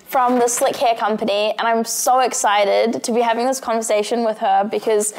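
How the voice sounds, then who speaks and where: A woman's voice: a little high, fairly even intonation, normal speed, darkish tone, soft background music. A woman hosting an interview, upbeat and effusive, asking long rambling questions. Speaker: interview host.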